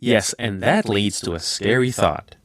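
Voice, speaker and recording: A man's voice: fairly low pitch, unhurried, no room sound, studio quality, natural voice. A man playing a thoughtful, nerdy teenage hero in an audio drama, musing and conversational.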